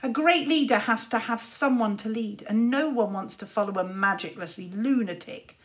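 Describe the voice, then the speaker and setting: A woman's voice: fairly high pitch, moderate pace, lightly acted. Woman playing a cruel, jeering boy wizard. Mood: triumphant. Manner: jeering and crowing. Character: cruel, taunting, boastful boy wizard rival.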